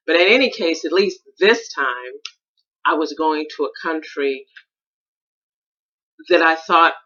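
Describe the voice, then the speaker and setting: A woman's voice: low voice, bright, forceful tone, muffled recording. An American woman hosting a solo podcast, thinking aloud in a rambling, reflective monologue with frequent restarts. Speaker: podcast host.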